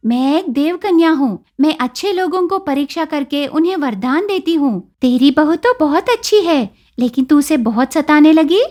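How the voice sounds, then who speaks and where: Woman voicing a high-voiced, commanding fairy goddess. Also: lively intonation, normal speed, hardly any pauses, darkish tone. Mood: stern. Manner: commanding, formal, benevolent. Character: righteous fairy goddess, female, judging and rewarding.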